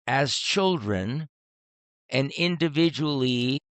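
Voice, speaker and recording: Slow, smooth-voiced older man. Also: mid-range voice, animated, fairly bright tone, no room sound. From Cuba, then Miami in the US. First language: not English, which was a third language. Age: 70s. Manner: philosophical, measured, pausing phrasing. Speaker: podcast guest, retired optometrist and author. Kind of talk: reflective interview talk.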